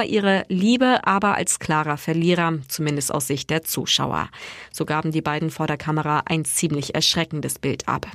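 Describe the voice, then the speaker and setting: A woman reading scripted news reports in a steady, clear way. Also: on the low side, dry recording, clean studio sound. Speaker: news reader.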